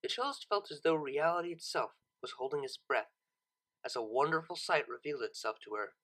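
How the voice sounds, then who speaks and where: Audiobook narrator, mid-pitched, bright and forceful, dramatic deliberate phrasing. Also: lively intonation. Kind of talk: expressive scripted story narration.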